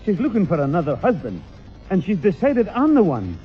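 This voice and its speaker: A crotchety old Northern English grandfather blusters and exclaims in a highly animated, rather high voice. It is 1960s radio comedy with dull sound. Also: a little fast, soft background music. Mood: exasperated. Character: grumpy, exasperated old grandfather. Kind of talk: 1960s British radio sitcom acting.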